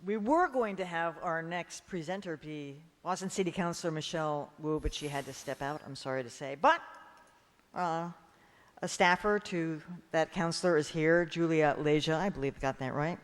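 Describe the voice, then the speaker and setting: Higher-voiced male emcee in echoey hall. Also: moderate pace. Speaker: master of ceremonies. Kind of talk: informal event announcing. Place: large indoor event hall. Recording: echoey hall with long reverb.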